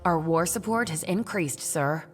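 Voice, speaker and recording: An actress playing a dutiful military announcer giving formal status reports in a video game. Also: female voice, low-pitched, unhurried, faint music behind.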